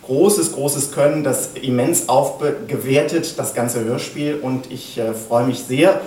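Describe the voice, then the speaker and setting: A man's voice: medium pitch, slow pace, warm tone. Man, middle-aged, acceptance speech, echoey event room, faint background noise. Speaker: producer, director and author accepting an award on behalf of an actor. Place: small indoor event stage at a lectern. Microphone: far camera in the audience. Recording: echoey stage room, distant camera, faint hiss.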